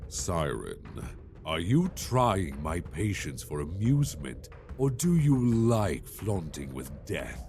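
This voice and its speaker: A man's voice: sits low, big pitch swings, takes it slowly, a little mellow, faint music behind, full character voice. A man voicing a menacing dragon ruler in a fantasy audio drama, with an imperious, declamatory manner. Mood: stern, menacing. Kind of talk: fantasy audio roleplay acting.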